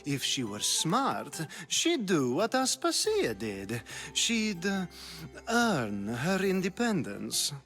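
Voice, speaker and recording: A charming young Athenian rogue in a video game, drawling slow and teasing over faint music. His pitch sits a little high and swings widely as he leans into every suggestive line. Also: wildly swooping pitch, a bit bright, heavily acted. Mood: sly. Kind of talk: video game cutscene acting.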